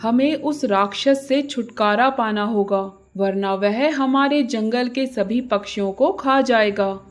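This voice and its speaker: Woman as a slow, worried forest bird. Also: on the high side, faint music behind, pitched below the performer's own voice. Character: worried, serious forest birds and their king.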